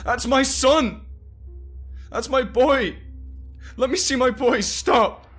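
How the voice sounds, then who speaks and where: A man's voice: very high voice, takes it slowly, husky, faint music behind, voice pushed up, exaggerated voice. A man voicing a frantic father who screams and pleads for his son. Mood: anguished. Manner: desperate pleading shouts.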